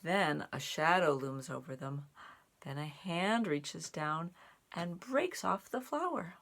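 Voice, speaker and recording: A woman reading a children's picture book aloud in an expressive, deliberate way for young listeners, with little asides. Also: sits low. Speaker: storybook reader and sign language teacher.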